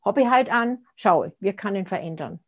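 A woman's voice: mid-range voice, lively intonation, normal speed, husky, highs cut off. Thoughtful woman in her sixties. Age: 60s. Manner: thoughtful, searching for words with frequent restarts. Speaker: interview guest. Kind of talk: reflective conversational interview.

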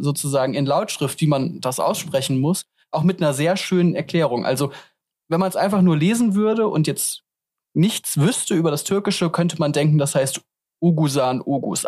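A man's voice: a little high, normal speed, darkish tone. A man hosting an interview, asking friendly, curious questions and linking segments in a radio-style format. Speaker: host, interviewer.

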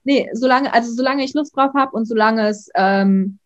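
Young woman, influencer guest, extroverted, quick bursts, filler-heavy casual talk, higher voice. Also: muffled recording. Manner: outgoing, talks in quick bursts with many fillers. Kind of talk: casual interview.

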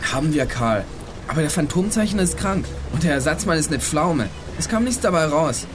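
An actor playing a young detective who reports in dry, deadpan jokes in a German audio drama. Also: male voice, fairly high pitch, brisk, lightly acted. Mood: dry, glum. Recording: radio play clip with music underneath.

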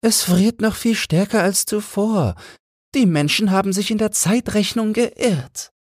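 A man's voice: sits high, measured pace, husky, dry recording, studio quality, voice pushed up. A man voicing a small, frozen, grumpy sparrow with a chirpy, complaining manner in a fairy-tale audiobook.

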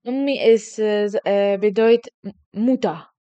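A young woman whose first language is Kurdish, an intern training as a kindergarten teacher. She chats in a halting way with broken grammar. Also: medium pitch, warm tone, clear, resonant tone, muffled recording. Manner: halting non-native speech with broken grammar. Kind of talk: casual interview chat.

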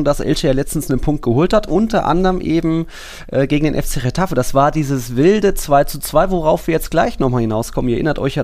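A man with a medium-pitched voice hosts a casual football podcast. He recaps in a chatty, loosely structured way, dropping in asides as he goes. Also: darkish tone. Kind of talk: casual sports podcast discussion.

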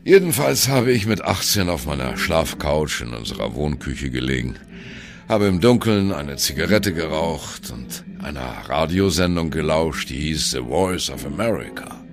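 An actor playing an ageing jazz musician who wryly and dramatically recalls his youth in a radio play monologue, with deliberate, colloquial phrasing and a gravelly voice. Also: a bass voice, very animated, over music, heavily acted. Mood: wistful, earnest. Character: ageing male jazz musician, wry, reminiscing on postwar youth.

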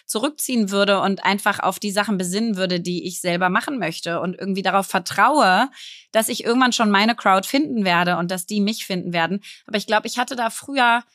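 A woman's voice: mid-range voice, fairly bright tone, no room sound, clean studio sound. A woman in her thirties from Berlin, co-hosting a casual podcast chat and talking candidly, often laughing at herself. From Berlin, Germany. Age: 30s. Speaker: podcast co-host.

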